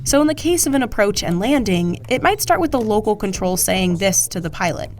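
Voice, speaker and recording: A woman's voice: mid-range voice, big pitch swings, a little fast, faint music behind. A woman reading scripted narration for an explainer report, as its reporter and narrator.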